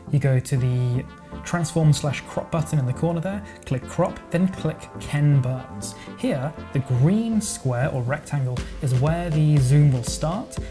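A man narrating a software editing tutorial in a relaxed, chatty way, thinking aloud as he goes. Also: a little high. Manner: casual, explanatory, thinks aloud with verbal sound effects. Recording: voice-over with music playing underneath.

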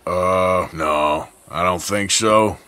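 A man's voice: deep voice, drawn-out words, pushed and bright, smooth, exaggerated voice. A male actor playing a laconic adventurer outlaw in a video game, with an American accent and a hesitant, understated, wry delivery. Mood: awkward. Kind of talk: video game character acting.

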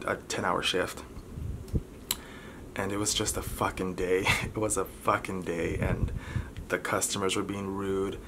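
A man in his twenties talking candidly to camera as a vlogger, halting and pausing often between short phrases. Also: low voice. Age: 20s. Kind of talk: candid personal talk to camera. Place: bedroom, indoors. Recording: clearly noisy room recording.

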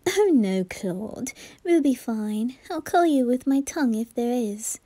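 Woman as a high, slow, teasing young lordling. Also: animated, mellow, no room sound. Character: teasing, tender young male aristocrat, bratty earl. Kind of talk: ASMR roleplay addressed to the listener.

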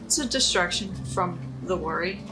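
A woman playing a blunt, wary female traveler who talks in a halting, informal way. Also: on the low side, lively intonation, noisy background, natural voice. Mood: uneasy. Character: blunt, wary, hungry female ship passenger.

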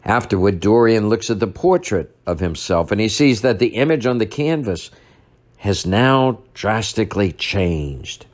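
An older man, a priest, delivering a sermon at a church lectern, retelling a story in a measured way and slowing down for emphasis. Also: on the low side, expressive.

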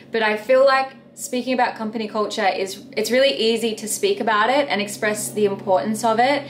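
A woman in her thirties, a bakery owner, chatting earnestly to camera from her bedroom in a casual question-and-answer video. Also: medium pitch. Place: bedroom at home.

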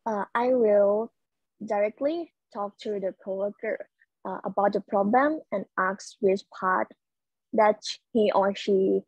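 A woman, a student answering job interview questions in a careful, halting way over a video call. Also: mid-range voice, slightly rough, no echo, muffled recording. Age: 20s. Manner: careful, halting, restarts words.

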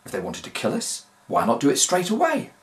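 A clever, cheerful cow professor, acted by a man reading a story. He talks briskly with a very lively pitch, like the hero of a children's storybook. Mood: puzzled, urgent.

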